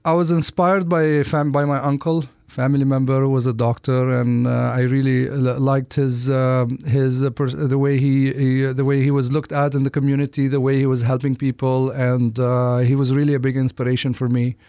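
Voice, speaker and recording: A man from Lebanon answering interview questions as a guest doctor, with hesitant, halting phrasing and repeated false starts. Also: medium pitch, flat delivery, mellow, clean tone, no echo, clean studio sound. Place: radio studio.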